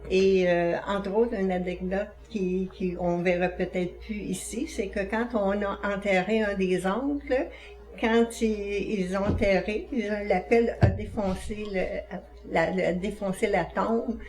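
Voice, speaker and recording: Older woman, Quebec French accent, very slow, hesitant, distant mic. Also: medium pitch, steady tone, a little mellow. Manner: hesitant, many fillers when telling a story. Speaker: interview participant.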